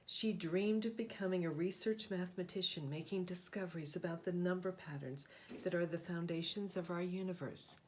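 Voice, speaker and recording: A woman reading a children's picture book aloud, with deliberate, expressive phrasing and short explanatory asides. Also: fairly low pitch, a little hiss or hum. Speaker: read-aloud host, storyteller.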